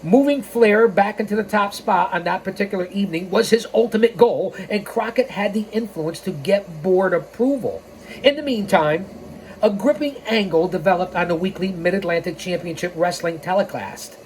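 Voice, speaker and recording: A woman's voice: medium pitch, moderate pace, darkish tone. A woman hosting a story time, reading a scripted history aloud with casual, playful asides. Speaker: storytime host and narrator. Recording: clearly noisy background.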